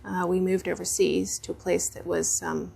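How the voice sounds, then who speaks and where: A woman giving a candid, reflective personal account, pausing hesitantly. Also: fairly low pitch, little pitch movement, creaky, darkish tone, slightly rough. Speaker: wife in couples counselling.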